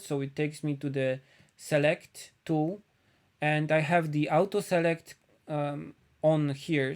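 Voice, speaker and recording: A man from Poland with a Polish accent, chatting casually on a live stream as he paints, thinking aloud with frequent pauses. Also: fairly high pitch, darkish tone, clean tone.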